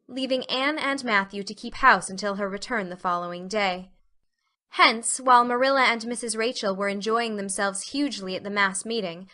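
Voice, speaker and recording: Bright, clear-cut woman narrating a story. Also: mid-range voice. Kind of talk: scripted audiobook story narration.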